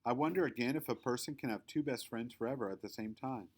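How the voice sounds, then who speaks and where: A man reading a children's story aloud as narrator, in an expressive, emphatic read-aloud style. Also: mid-range voice, fast. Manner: animated, emphatic. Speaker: storybook reader.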